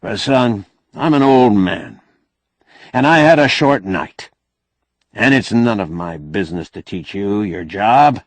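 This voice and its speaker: A man's voice: on the low side, expressive, unhurried, no echo. A man voicing a blunt, folksy old man who bargains shrewdly and sardonically in an audiobook novel. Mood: weary, dismissive. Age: older. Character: crusty, shrewd old man, bossy, wry negotiator.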